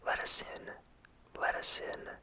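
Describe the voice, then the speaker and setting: A storyteller whispering the lines of a creature that imitates a pleading, tearful mother, coaxing and soft. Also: slow pace.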